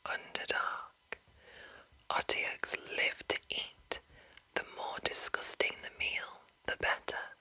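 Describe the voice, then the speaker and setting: Slow, whispering woman, breathy ASMR. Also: pushed and bright, low-quality recording. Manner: slow, deliberate, pausing between short phrases. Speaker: host reading a game rulebook. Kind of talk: whispered ASMR reading and commentary.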